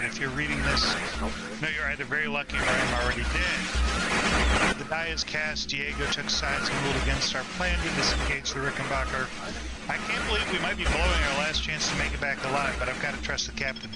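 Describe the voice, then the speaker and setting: A man hosting a gameplay video, commenting casually and reading in-game text aloud. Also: a little high. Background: loud game music.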